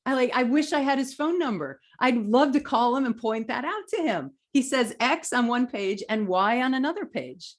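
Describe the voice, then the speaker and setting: A middle-aged woman teaching a live online class, lecturing earnestly and emphatically, then reading viewer comments in a softer voice. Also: a little high, animated, normal speed, few pauses. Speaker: spiritual teacher running a live online training.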